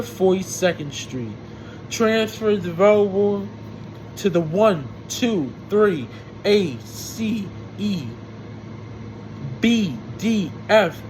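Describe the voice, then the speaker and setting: A man's voice: sits high, low-quality recording. A man reciting subway announcements in a formulaic way, imitating a train announcer and now and then stumbling over words. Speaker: amateur transit announcer. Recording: loud steady background hum and noise.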